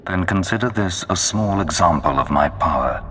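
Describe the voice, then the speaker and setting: An actor playing a menacing, zealous Sith lord in a video game, speaking in a grandiose, solemn and imperious way. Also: male voice, low-pitched, lively intonation, moderate pace, a bit bright, slight background noise. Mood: threatening. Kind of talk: video game villain acting. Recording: long cavernous reverb, music behind.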